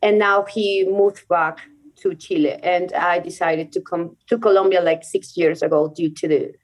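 A woman's voice: on the low side, fairly even intonation, normal speed, rough recording. A young adult woman from Venezuela, a Spanish speaker, talking casually in an interview, laughing often and restarting sentences as she chooses her English words. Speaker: guest, rock climber.

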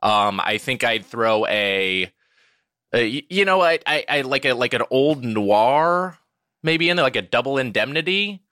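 Male podcast guest, bright animated voice, froggy throat, rambling casual delivery. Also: medium pitch, measured pace, no room sound, studio quality. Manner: rambling, self-deprecating, hesitant fillers.

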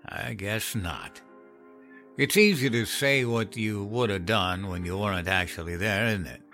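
A man voicing a gruff, cynical old country man with a folksy drawl, telling of hard times in a horror story. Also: fairly low pitch, moderate pace, pushed and bright, soft background music. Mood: bitter. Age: older. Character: cynical old country man recounting grim Depression-era hardship. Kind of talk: dramatized horror story narration.

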